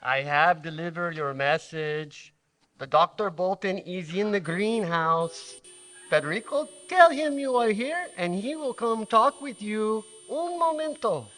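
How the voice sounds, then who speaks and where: A man plays a dutiful gardener in an old-time radio adventure. He talks in formal, broken English with a Spanish accent, solemn in temper yet very varied in pitch. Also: expressive, a little slow, a bit bright. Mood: solemn, servile. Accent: stage Spanish. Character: solemn, dutiful native male gardener, eager to serve.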